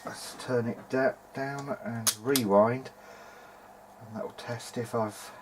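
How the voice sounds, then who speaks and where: A man's voice: medium pitch, steady tone, takes it slowly, background noise. A man informally talking through a hands-on repair in a video diary, hesitant and half muttering to himself as he works. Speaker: vintage hi-fi hobbyist narrating own repair video.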